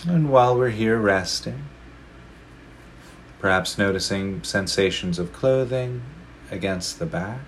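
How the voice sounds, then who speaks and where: A man with a very low voice leads a guided meditation. He talks slowly and gently and leaves long pauses between phrases. A faint background noise sits under his voice. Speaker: meditation teacher.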